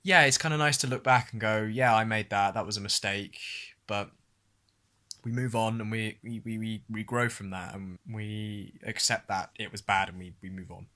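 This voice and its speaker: A man's voice: fairly low pitch, fairly even intonation, normal speed, sharp tone. Casting: British male vlogger, early twenties, casual, self-deprecating, rambles as he reflects. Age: early 20s. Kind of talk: casual personal vlog and reflective narration.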